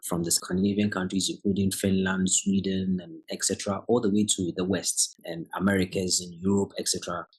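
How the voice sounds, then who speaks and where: A man's voice: sits low, little pitch movement, a little fast, few pauses, breathy edge, low-quality recording. A man speaking as a guest educator on a podcast, thinking aloud and often restarting his phrases. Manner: reflective, restarts phrases, rising emphasis on key words. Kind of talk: podcast conversation.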